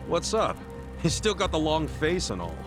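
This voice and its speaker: A scrappy ex-con game hero, super expressive swingy voice, brash n earnest, music behind him. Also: male voice, on the high side, normal speed. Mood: upbeat. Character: big-hearted, stubbornly optimistic, rough-talking male ex-convict hero. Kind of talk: dramatic video game voice acting.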